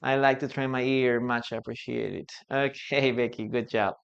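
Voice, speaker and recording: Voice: mid-range voice, steady tone, normal speed, creaky, clear, resonant tone, highs cut off. A native Spanish-speaking English teacher from Peru with a Peruvian accent, leading a live online class and patiently repeating key phrases.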